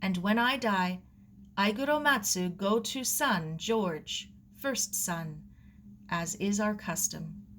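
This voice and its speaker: A woman voicing a gentle, proud old Japanese gardener who speaks clipped, broken English with a Japanese accent in a storybook reading. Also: mid-range voice, slow pace, slight background noise. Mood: solemn, proud.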